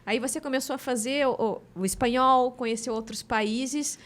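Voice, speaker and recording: A woman's voice: sits high, fairly even intonation, moderate pace. A woman hosting a podcast interview, encouraging her guest with frequent agreement. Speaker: podcast host. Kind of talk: casual interview.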